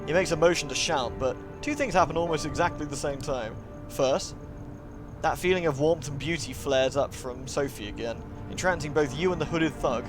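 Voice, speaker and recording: Higher-pitched man narrating a game livestream. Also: normal speed, music in the background. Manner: dramatic storytelling narration, breaking into playful chatty asides. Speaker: live streamer. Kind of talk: reading a visual novel aloud with casual asides to chat.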